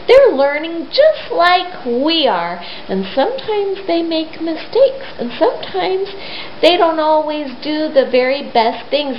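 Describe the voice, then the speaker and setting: A woman retelling a Bible story to young children, speaking simply and emphatically and putting questions to them. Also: high voice. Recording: roomy, dull lo-fi sound with hiss.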